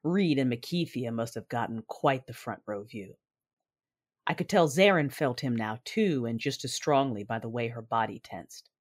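An actress playing an intense, coolly confident Sith warrior woman, narrating a sci-fi audio drama in a husky, measured voice over music. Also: rumbling low, expressive. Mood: brooding, wry. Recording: music under the voice.

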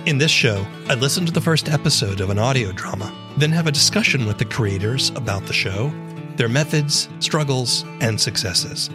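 A man's voice: medium pitch, animated, moderate pace, music in the background. A man reading scripted narration as the host of an interview podcast.

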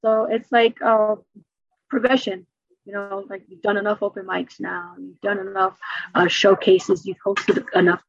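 A middle-aged woman with a New York accent, a stand-up comedian chatting in an interview and telling stories quickly and animatedly. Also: mid-range voice, husky, no room sound, muffled recording. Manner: fast, animated storytelling with laughs. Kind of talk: casual interview chat.

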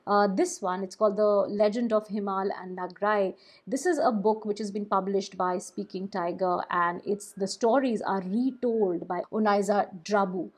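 Brief: Bengali-native woman podcaster, mid-pitched, reflective, self-correcting. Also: fairly even intonation, moderate pace, slightly rough. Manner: thoughtful, with small restarts. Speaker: storyteller and podcaster. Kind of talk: casual podcast discussion.

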